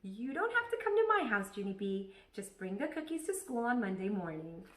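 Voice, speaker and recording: A woman voicing a patient but firm kindergarten teacher in a children's storybook, measured and instructive. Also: medium pitch, sing-song, fairly bright tone, distant mic. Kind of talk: children's storybook read-aloud. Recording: echoey room.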